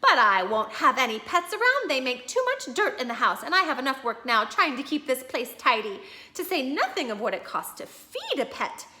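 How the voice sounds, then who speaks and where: A woman voicing a sharp, fretful housewife. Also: sits high, very animated, moderate pace, pitched above the performer's own voice, full character voice. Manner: sharp, worried scolding. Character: fussy thrifty housewife, middle-aged.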